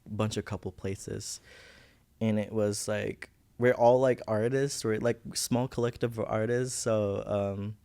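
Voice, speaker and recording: Young guy, visayan, talks slow and halting with a gravelly edge, searching for words. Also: fairly low pitch, fairly even intonation. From Philippines. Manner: soft-spoken, halting, searching for words, laughs nervously. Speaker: podcast guest. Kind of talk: personal story in casual interview.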